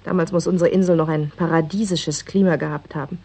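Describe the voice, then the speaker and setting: Self-assured husky-voiced local woman. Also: low voice, steady tone, a little fast, warm tone. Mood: assured, explaining. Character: self-assured local woman, patiently explaining her island's history. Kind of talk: 1960s German radio play acting.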